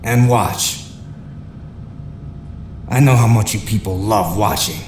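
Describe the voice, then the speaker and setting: An actor in an audio drama playing a defiant, sly male prisoner with a flat, sardonic way of talking. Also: takes it slowly. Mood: sly, needling. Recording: echoey room, rumbling background noise.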